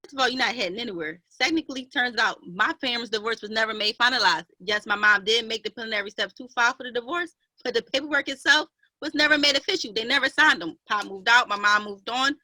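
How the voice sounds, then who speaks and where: Actress as a bright, high-voiced, grieving younger sister. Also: female voice, fast talker, no echo. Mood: defiant. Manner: assertive, indignant, emotional. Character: Joy, grieving, defiant younger half-sister standing her ground. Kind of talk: online dramatic play reading.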